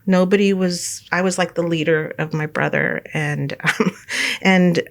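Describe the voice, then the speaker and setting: Give me a middle-aged woman with a fairly low voice, chatting loosely about her past with lots of fillers and laughter. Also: fairly even intonation, measured pace, vocal fry. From United States, California. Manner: rambling, many fillers and restarts, laughs often. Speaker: interview guest. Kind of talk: casual life-story interview.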